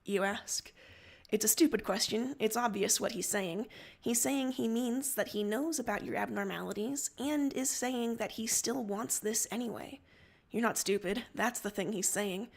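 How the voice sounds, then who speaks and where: A woman's voice: on the high side, a little slow, vocal fry. A woman hosting a livestream, moving between story narration and casual chat. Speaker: livestream story reader and host.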